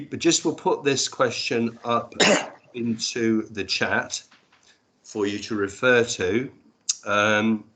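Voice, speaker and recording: A man chairing an online roundtable discussion in a courteous, brisk way, calling on people and keeping things moving. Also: lowish voice, fairly bright tone. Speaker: meeting chair.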